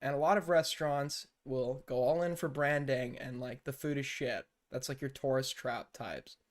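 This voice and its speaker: A man's voice: mid-range voice, normal speed. A young man giving casual live-stream commentary, rambling and blunt, with lots of fillers and swearing. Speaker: streamer reacting to news clips.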